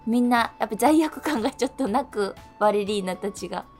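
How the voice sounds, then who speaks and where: Quick-talking, higher-voiced woman on radio. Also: expressive, a little mellow, soft background music. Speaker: radio show co-host. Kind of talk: casual radio chat.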